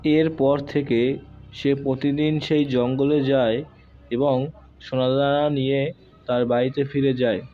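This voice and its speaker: Slow-paced male cartoon storyteller. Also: medium pitch, level tone, highs cut off. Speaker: storyteller, narrator. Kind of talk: scripted children's cartoon narration. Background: music.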